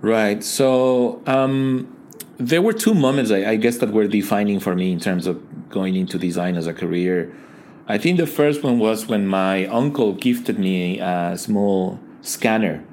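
Low-voiced man. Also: darkish tone, a little hiss or hum. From Ecuador. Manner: thoughtful, halting, many fillers and restarts. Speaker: guest, digital design leader. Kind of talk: casual interview conversation.

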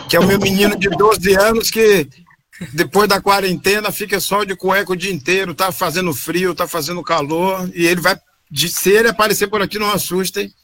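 A man's voice: high voice, sharp tone. Man rambling through a story. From Salvador, Bahia, Brazil. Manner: rambling, frequent "né?" tags and self-corrections. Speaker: interview guest. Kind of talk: casual storytelling interview. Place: home room. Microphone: video call from home.

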